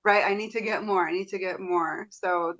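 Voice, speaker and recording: A woman's voice: lowish voice, steady tone, moderate pace, a bit bright. Woman, podcast host, effusive, enthusiastic, slightly muffled sound. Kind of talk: podcast interview.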